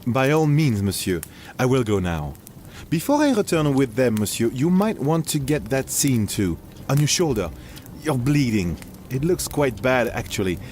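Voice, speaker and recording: A man's voice: mid-range voice, sing-song, normal speed, a little mellow, slight background noise, close to an everyday voice. An actor playing a respectful, plain-spoken male night guard in an audio drama. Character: deferential, earnest male night guard, sure of himself.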